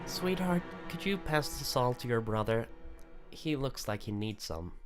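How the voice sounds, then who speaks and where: A man's voice: medium pitch, lively intonation, a little slow, music in the background, pitched above the performer's own voice, lightly acted. A man voicing a prim, falsely sweet mother who coaxes and scolds, in a visual novel read-aloud. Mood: sugary, coaxing.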